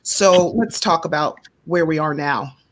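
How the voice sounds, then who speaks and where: A woman hosting a panel discussion, lively and emphatic, with a playful streak. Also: low-pitched, measured pace. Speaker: host.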